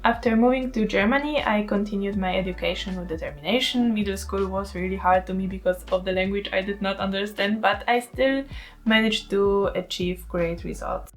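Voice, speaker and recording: A woman's voice: mid-range voice, a little fast, faint music behind. A woman in her twenties from Poland with a Polish accent, narrating her story as an artist in a candid, self-deprecating way. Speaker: artist vlogger. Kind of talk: scripted voice-over narration.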